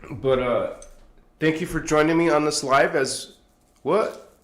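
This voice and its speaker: A man's voice: on the high side, slow pace, echoey room. Chatty man with a stuffed-up nose. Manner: rambling, chatty, chuckles often. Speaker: livestream host. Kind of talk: casual livestream chat. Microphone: phone on tripod.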